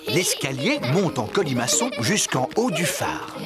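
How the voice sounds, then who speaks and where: A man's voice: fairly high pitch, big pitch swings, a little slow. A man playing the storybook narrator of a French preschool cartoon, reading scripted narration. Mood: plain storytelling. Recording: loud music behind the voice.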